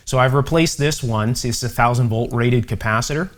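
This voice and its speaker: A man giving an unhurried, methodical hands-on electronics repair demonstration, with conversational asides as he explains each step. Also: medium pitch. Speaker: host, electronics repair instructor. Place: indoor electronics workshop.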